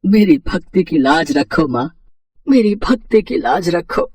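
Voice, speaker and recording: A man's voice: sits high, animated, slow, darkish tone, far from the mic. A man playing a humble, devout, emotional potter and father in a moral story, with a reverent, pleading delivery. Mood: anguished, pleading. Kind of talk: acted dialogue in a Hindi moral story.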